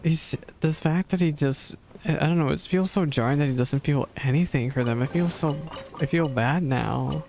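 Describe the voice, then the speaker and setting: A game streamer giving casual, empathetic live reactions and musing haltingly. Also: mid-range voice, darkish tone. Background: game music.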